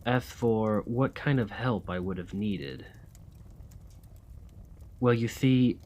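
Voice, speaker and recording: Man playing a slow, low-voiced vampire gentleman. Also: slight background noise. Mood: polite, regretful. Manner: formal, old-fashioned, gallant politeness. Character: courteous, weary male vampire gentleman, centuries old, desperate for a cure. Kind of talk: scripted ASMR roleplay acting.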